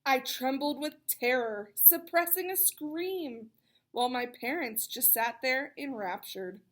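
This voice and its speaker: Woman, high voice, picture book read-aloud, expressive storytelling, chatty explanatory asides. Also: unhurried.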